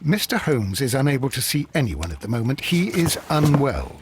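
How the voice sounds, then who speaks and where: An actor as a loyal male doctor in a Victorian detective game. He speaks in a medium-pitched voice, earnest and proper, against loud background noise. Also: sing-song, moderate pace, lightly acted. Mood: firm, protective. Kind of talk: video game cutscene acting.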